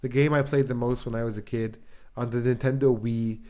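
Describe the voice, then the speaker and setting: A young man with a medium-pitched voice hosts a casual podcast. He talks in loose slang, swears easily and doubles up phrases to underline what he means. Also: steady tone, fairly quick, darkish tone. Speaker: podcast host.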